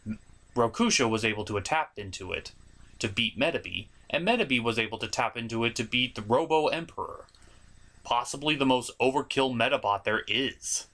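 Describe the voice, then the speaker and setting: A man's voice: medium pitch, sharp tone. A man hosting an enthusiastic scripted cartoon review, emphatic and blunt with casual asides. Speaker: host of a cartoon review show.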